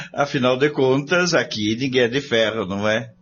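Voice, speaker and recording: An actor playing a jovial member of a research group in a radio drama, with a Brazilian accent and wordy, theatrical phrasing. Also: male voice, mid-range voice, unhurried, a bit bright. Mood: jovial, persuasive. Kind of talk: scripted radio drama acting. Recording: dull lo-fi audio with faint noise.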